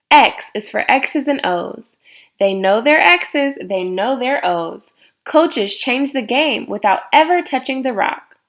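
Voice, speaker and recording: A woman reading a children's picture book aloud in deliberate, separated phrases. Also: fairly high pitch, fairly bright tone.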